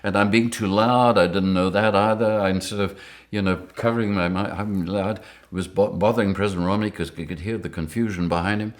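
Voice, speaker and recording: An older man from Rhodesia, telling lively anecdotes in an interview and chuckling often. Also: fairly low pitch, a little fast. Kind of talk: storytelling interview.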